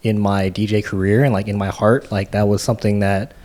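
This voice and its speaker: A man's voice: on the low side, fairly even intonation, a little fast, darkish tone. A man co-hosting a podcast and talking as a DJ in casual, slangy, earnest chat peppered with swearing. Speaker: podcast co-host and DJ.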